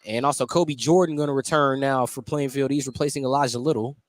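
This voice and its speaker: A man calling live basketball play-by-play on the radio, getting excited on big plays. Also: mid-range voice, fast talker. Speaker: play-by-play announcer. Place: basketball gym at a tournament.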